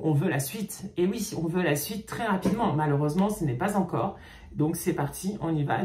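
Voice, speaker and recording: A woman YouTuber reading fan fiction aloud and pausing often to comment, in a chatty manner. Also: lowish voice, darkish tone. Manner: chatty, reading slowly, with frequent "ok" and hesitations. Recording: roomy echo.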